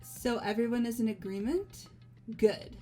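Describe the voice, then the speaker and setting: A woman voicing a game character in a fan dub, calm and composed. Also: on the high side. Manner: calm, measured, composed delivery. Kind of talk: fan voice acting of a game script. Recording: faint music behind. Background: faint game music.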